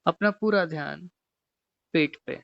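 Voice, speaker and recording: A man teaching guided meditation, giving gently repetitive, step-by-step instructions. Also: on the high side, measured pace, vocal fry, dry recording, muffled recording.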